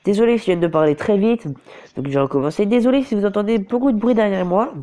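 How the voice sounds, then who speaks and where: A man's voice: high voice, quick pace, warm tone. Hesitant guy with a cold. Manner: hesitant, often restarts and corrects himself. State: has a cold and a sore throat. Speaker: mobile game commentator. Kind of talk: casual gameplay commentary. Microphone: phone screen recorder.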